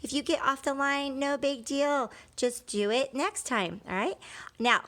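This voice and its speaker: Higher-pitched woman teaching young children. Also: measured pace, fairly bright tone. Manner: child-directed, asks questions and answers them; encouraging, reassuring. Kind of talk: interactive children's read-aloud and lesson.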